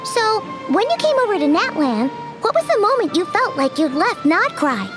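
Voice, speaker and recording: Bubbly tiny companion; very high, lively, over game score. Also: female voice, normal speed. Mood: chirpy. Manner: chirpy, refers to herself in third person. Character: small floating girlish companion, bubbly and greedy. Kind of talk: game cutscene dialogue. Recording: game audio with music behind.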